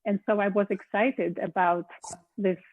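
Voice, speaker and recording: A woman's voice: medium pitch, steady tone, a little slow, no room sound, narrow, tinny audio. A middle-aged woman from Latvia, hosting a cooking show and telling her story conversationally, with small hesitations. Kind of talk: casual personal account.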